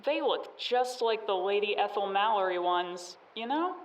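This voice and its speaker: A woman's voice: mid-range voice, expressive, normal speed, lightly acted. A woman playing a cocky, sarcastic bandit in an audio drama, taunting and dry, heard at a distance. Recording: echoey, distant from the microphone.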